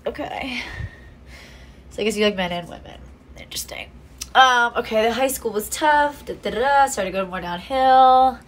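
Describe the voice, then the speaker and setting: A woman's voice: medium pitch, a little hiss or hum. A young woman giving casual, blunt true crime commentary from a small home room, swearing freely and breaking into sarcastic asides. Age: young adult. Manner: blunt and profane, sarcastic asides, frequent restarts.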